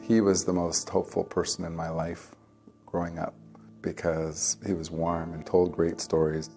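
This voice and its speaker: A man giving reflective personal testimony in a plain-spoken, halting way, as in a documentary interview. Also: low-pitched, little pitch movement, warm tone, faint music behind.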